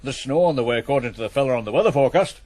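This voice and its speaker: An actor playing a kindly older farmer, gentle and plain-spoken, in a radio drama. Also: male voice, medium pitch, lively intonation, racing, no room sound, lightly acted. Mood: genial, animated. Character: kindly old farmer encouraging his young ploughman. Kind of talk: naturalistic radio drama acting.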